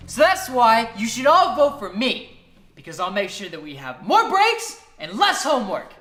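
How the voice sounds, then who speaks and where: An actor playing a smug, bullying school election rival with a haughty, taunting manner. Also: female voice, a little high, sing-song, moderate pace, fairly bright tone. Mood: campaigning.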